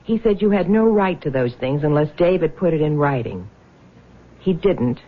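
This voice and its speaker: Husky, low, sharp-tongued bitter widow. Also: female voice, expressive, normal speed, narrow, tinny audio. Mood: scornful, threatening. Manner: combative, scornful. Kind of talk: 1970s radio drama acting. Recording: thin, band-limited vintage radio recording.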